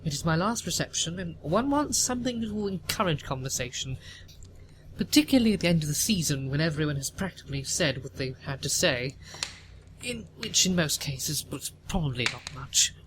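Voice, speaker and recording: A woman's voice: low voice, lively intonation, a little fast. A performer acting a haughty, domineering older Victorian woman of the aristocracy in an audio play, pompous and commanding. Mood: imperious. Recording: noticeable background noise.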